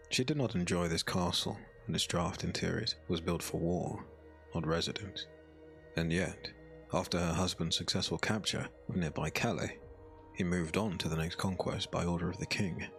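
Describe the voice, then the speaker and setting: Deep, creaky male storyteller. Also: fairly bright tone. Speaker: narrator. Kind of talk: scripted storybook narration.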